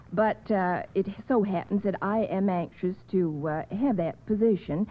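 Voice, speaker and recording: Halting woman on tinny old radio. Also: medium pitch. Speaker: quiz show panelist. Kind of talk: short humorous campaign speech.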